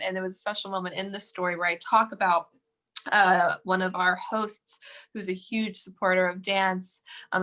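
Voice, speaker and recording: Bright-voiced young woman, animated guest. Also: fairly low pitch. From United States. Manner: animated, warm, many fillers and restarts. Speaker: guest, professional dancer. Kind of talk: casual interview chat.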